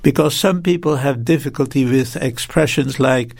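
A man from the Netherlands, a theology professor, speaking carefully and deliberately as an interview guest. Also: medium pitch, vocal fry, no echo, studio quality. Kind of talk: interview and prayer.

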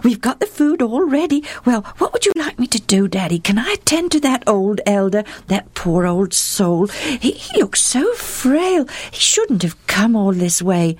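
A woman doing a young loving daughter, high girlish voice, eager, storybook reading. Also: big pitch swings, unhurried, few pauses, dry recording. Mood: earnest.

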